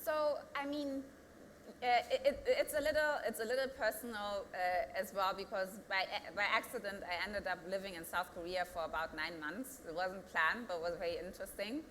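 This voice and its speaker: A woman's voice: medium pitch, moderate pace, a bit bright, long reverb tail, slight background noise. A woman in her thirties with a German accent, a company founder answering interview questions earnestly and rising to emphatic peaks. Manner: earnest, builds to emphatic peaks, thinks aloud with restarts.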